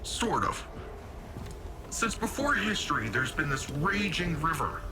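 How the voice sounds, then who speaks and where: An actor playing an easygoing young guardian in a video game cutscene, talking in a casual, breezy way. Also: male voice, fairly high pitch, sing-song, a little slow, very noisy. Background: loud orchestral score.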